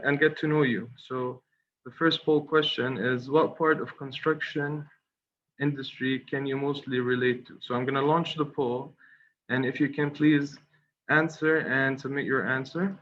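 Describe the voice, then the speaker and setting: A young man presents at an online webinar, stopping often for fillers and restarting his sentences as he goes. His voice sits at an ordinary middle pitch. The call audio is a little dull, with a faint hiss behind it. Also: fairly even intonation, a little slow, a bit bright. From Qatar. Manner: hesitant, many fillers and restarts. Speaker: guest speaker.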